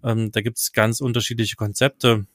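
A man in his thirties co-hosting a podcast, giving practical advice in a relaxed way with many fillers. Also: mid-range voice, moderate pace, no room sound, clean studio sound. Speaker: podcast co-host. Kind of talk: casual advice chat. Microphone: headset and studio mic.

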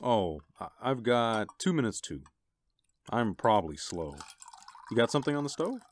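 A man's voice: medium pitch, sing-song, normal speed, no room sound, natural voice. An actor playing an easygoing, affectionate husband and father in a radio drama. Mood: concerned.

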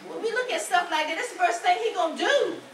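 A woman's voice: very high voice, animated, fast talker, a bit bright. A woman from the congregation sharing a personal testimony in a chatty, storytelling way. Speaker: congregation member. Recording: echoey room with noise from far off.

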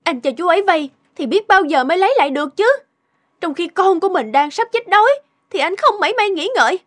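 A woman's voice: very high-pitched, lively intonation, quick pace, fairly bright tone, soft background music. A woman playing a distrustful, nagging village wife in a cartoon, scolding indignantly. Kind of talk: animated moral tale acting.

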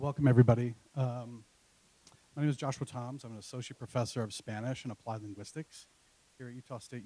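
A middle-aged man hosting an academic event, reading an introduction from notes into a handheld mic with hesitant pauses. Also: mid-range voice, dark tone, rough recording. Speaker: event host, university Spanish professor. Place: university classroom. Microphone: handheld microphone.

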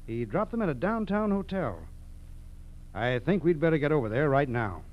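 An actor playing a businesslike, courteous FBI agent in a 1940s radio drama, speaking in clipped phrases. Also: male voice, fairly high pitch, very animated, normal speed, faint music behind. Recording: dull, hissy 1940s radio recording.

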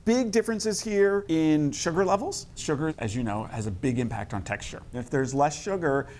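A man's voice: on the high side, moderate pace, a little mellow, slight background noise. A middle-aged man with an American accent, explaining conversationally as a tasting expert on a cooking show. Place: studio kitchen set with audience.

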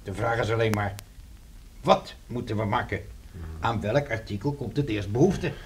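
A man's voice: lowish voice, normal speed, a little hiss or hum, natural voice. An actor playing a sardonic, scheming man with a drawling manner, in a radio drama. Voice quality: sardonic, drawling, full of ideas. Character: sardonic, scheming man, a friend starting a business.

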